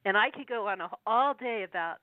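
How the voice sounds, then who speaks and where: A woman with a cold, her voice going, speaking as a guest over the phone and reading old verses slowly between chatty asides. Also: mid-range voice, expressive, no room sound. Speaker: guest researcher. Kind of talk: phone interview, reading prophecy verses aloud. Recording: tinny phone line.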